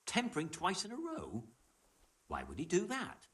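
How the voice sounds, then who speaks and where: A man acting an eager, plain-spoken master blacksmith in a medieval video game, with brisk old-fashioned turns of phrase. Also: sits high, huge pitch swings, a bit bright, recorded from a distance, full character voice. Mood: sceptical, accepting.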